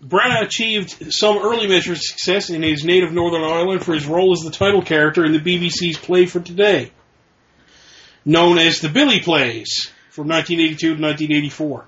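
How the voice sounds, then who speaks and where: A man with a slightly high voice hosts a podcast in a loose, casual chat, reading out lists from his notes and correcting his own slips as he goes. He rambles a bit and laughs easily at himself. The recording is muffled and lo-fi. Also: fairly even intonation, brisk, a bit bright. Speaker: podcast host.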